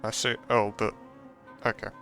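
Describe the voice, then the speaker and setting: Man muttering over loud game music. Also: lowish voice, flat delivery, moderate pace. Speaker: game streamer. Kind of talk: casual live gameplay commentary.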